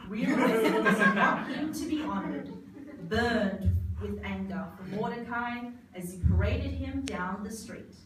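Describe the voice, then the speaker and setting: A woman's voice: fairly high pitch, animated, a little slow. A woman narrator reading a scripted, rhyming storybook tale for a live performance. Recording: very echoey, distant, noisy live hall.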